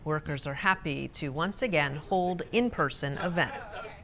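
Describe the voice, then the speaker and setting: Female news reporter, slightly low voice, scripted local-story narration. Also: a little slow, fairly bright tone, a little hiss or hum.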